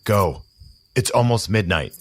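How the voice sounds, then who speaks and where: An actor playing a controlling, urgent male conspirator in an audio drama, giving deliberate, intimate instructions. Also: lowish voice, lively intonation, soft background music. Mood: hushed, instructing.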